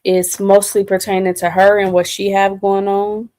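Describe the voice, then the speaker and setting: An American woman co-hosting a casual chat, speaking in halting phrases that circle before landing. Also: mid-range voice, level tone, warm tone, dry recording. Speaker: co-host.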